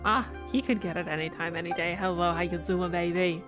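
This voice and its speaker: A woman's voice: on the low side, steady tone, brisk. A woman streamer reacting casually and playfully while she plays a video game. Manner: playful, joking. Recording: game music behind the voice.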